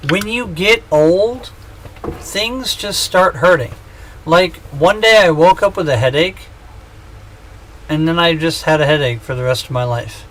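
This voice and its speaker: A man's voice: on the high side, measured pace, a bit bright, slight background noise, rough recording. A man in his thirties chatting casually on a gaming livestream, joking and rambling with self-mocking humor. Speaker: streamer.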